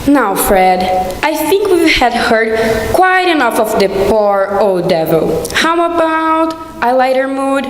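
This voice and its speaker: A woman's voice: high voice, sing-song, slow, hardly any pauses, heavily acted. A woman playing an impatient but playful Victorian wife in a staged radio play. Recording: echoey hall, faint noise.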